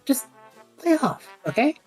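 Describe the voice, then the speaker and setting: A woman's voice: high voice, big pitch swings, a little slow, soft background music. A woman playing a wry, reluctant young magic user in a fantasy audio drama, casual and self-deprecating in manner. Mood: defensive.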